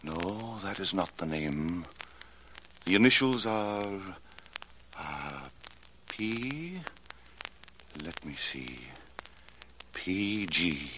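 An actor playing a suave, mercenary fake fortune-teller in a 1940s radio drama, speaking formally and with theatrical measure. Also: male voice, deep voice, sing-song, drawn-out words, pauses often, a bit bright, heavily acted. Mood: mysterious, solemn. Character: suave, mercenary male fake crystal-ball seer. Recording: noisy, clicking old radio transcription.

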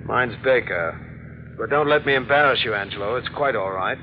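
An actor playing a coldly polite, menacing jealous husband in an old-time radio mystery, speaking in a clipped, mockingly calm way. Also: male voice, mid-range voice, moderate pace, close to an everyday voice. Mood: coolly probing. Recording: muffled, slightly noisy old radio recording.

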